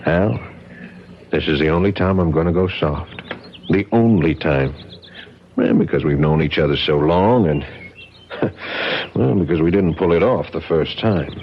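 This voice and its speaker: An actor playing an impatient, threatening gangster enforcer with slangy talk in a vintage radio drama. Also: male voice, sits low, animated, measured pace, long pauses, a little hiss or hum. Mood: smug threat. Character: menacing, impatient male gangster enforcer talking as an old pal. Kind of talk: 1970s radio drama acting. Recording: muffled old radio broadcast.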